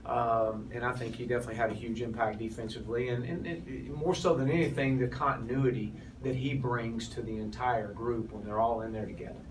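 Halting coach at a noisy press table. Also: male voice, medium pitch, steady tone. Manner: halting, many restarts and fillers. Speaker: head football coach. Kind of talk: postgame press conference. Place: press room, in front of a sponsor backdrop. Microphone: cluster of press mics on a table, heard from off. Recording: distant voice, noisy room.

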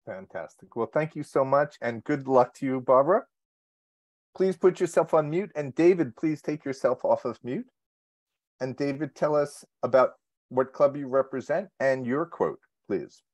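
Contest moderator, male, mid-range pitch, polite and orderly hosting over a video call. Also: lively intonation, normal speed, darkish tone. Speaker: contest host.

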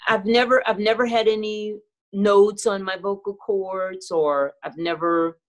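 A woman's voice: medium pitch, steady tone, measured pace. A middle-aged woman, a singer, talking candidly and reflectively in a casual video-call interview from a room at home. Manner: candid, reflective, laughs easily. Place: home room with clothing rack. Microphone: video call webcam.

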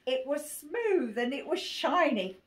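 Woman, 80, English accent. Unhurried, amused picture-book reading prone to giggles, high-pitched, recorded at home with faint noise. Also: very animated. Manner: giggly, often breaking into laughter while reading. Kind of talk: amused read-aloud of a rhyming picture book. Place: small cluttered living room. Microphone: phone camera a few feet away. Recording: faint noise, clicks, home phone video.

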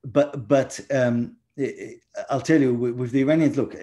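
A man's voice: mid-range voice, steady tone, normal speed, warm tone. A man in his forties with an Israeli accent, answering interview questions over a video call from home, with many fillers and restarts. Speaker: guest diplomat. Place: home room indoors.

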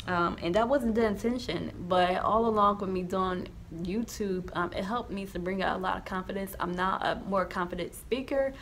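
A young woman with a slight lisp speaks casually to her camera in a small indoor room. Her voice is gravelly with vocal fry, and her talk rambles with frequent ums and restarts. A faint hiss sits under her voice. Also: on the low side. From New Jersey, USA. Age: young adult. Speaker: YouTuber sharing her testimony.

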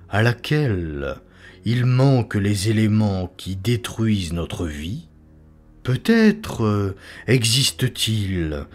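A man voicing a haunted, awestruck occult writer in an audiobook, speaking in a halting, dramatic way. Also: fairly low pitch, husky, heavily acted. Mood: feverish wondering. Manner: halting, dramatic, hesitant pauses. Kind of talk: dramatic audiobook character reading.

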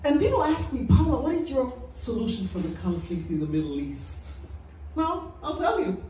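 A woman from Israel with an Israeli accent and a low, deep voice, performing a stand-up set with deadpan, self-mocking delivery on a small club stage into a handheld mic. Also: moderate pace, a little hiss or hum. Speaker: stand-up comedian. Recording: echoey club, heard from a distance.

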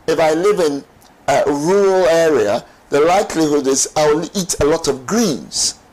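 Middle-aged man, fairly high voice, very slow pace, studio discussion, emphatic phrasing. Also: poor audio. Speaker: studio guest. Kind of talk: explanatory talk-show discussion. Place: TV studio lounge set. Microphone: lapel mic.

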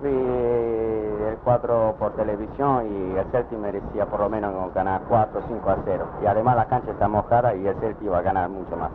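A man's voice: on the low side, fairly even intonation, fairly quick, few pauses. A man, a bus driver, giving his views in a matter-of-fact, assertive way outdoors. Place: street beside a bus, outdoors. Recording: tinny 1960s archival sound with street noise.

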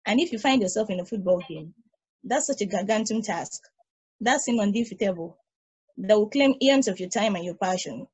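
Woman reading a calm ageless probe, husky, patient, philosophical. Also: mid-range voice, normal speed, lo-fi sound. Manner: calm, reasoned explaining. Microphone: video call.

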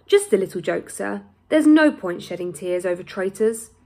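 A woman voicing a sly, menacing male royal adviser from a storybook, smooth-mannered, condescending and falsely courteous. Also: mid-range voice, lively intonation, moderate pace, mellow. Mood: mocking.

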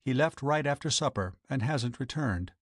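Man putting on a quiet, cold old landlady. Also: mid-range voice, animated, moderate pace, dry recording, studio quality, close to an everyday voice. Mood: quiet, controlled. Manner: quiet, terse, decisive. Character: gaunt, formidable, expressionless old boarding-house landlady. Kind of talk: audiobook character voice.

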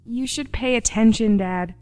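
A woman playing a sheriff's composed, defiant daughter in a radio play, calm and firm. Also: a little high, unhurried, soft background music, lightly acted. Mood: calmly defiant.

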